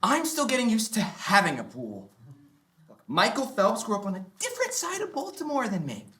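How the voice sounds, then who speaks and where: A woman's voice: fairly high pitch, huge pitch swings, moderate pace, fairly bright tone. A woman playing a cocky young vegan from Baltimore in a sitcom read on stage. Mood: evasive. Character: cocky young mixed-race vegan from Baltimore, streetwise teacher. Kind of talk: sitcom table read before live audience. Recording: roomy stage sound.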